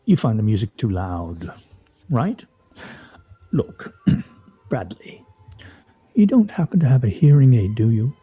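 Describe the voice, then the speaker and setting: An actor playing a pompous, sardonic husband in a radio play, speaking in a wry, theatrical, mock-formal way. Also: male voice, medium pitch, big pitch swings, slow pace, pauses often, husky, narrow, tinny audio, faint music behind, exaggerated voice. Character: pompous, sardonic husband at a weekly scrabble game.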